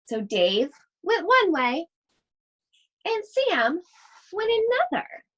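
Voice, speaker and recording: A woman teacher reading a picture book aloud to children, expressive and speaking directly to her young listeners. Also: very high voice, slow, muffled recording. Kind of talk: children's read-aloud with chatty asides.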